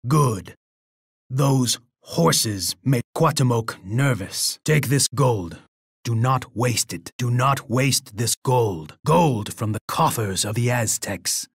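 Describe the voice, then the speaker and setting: A proud Aztec warlord for a strategy video game. His voice is husky and dry, and he delivers his lines slowly. He is imperious and boastful, with a bloodthirsty edge. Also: mid-range voice, no room sound, full character voice. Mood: scornful, then haughty generosity. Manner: imperious, boastful, speaking of himself in third person.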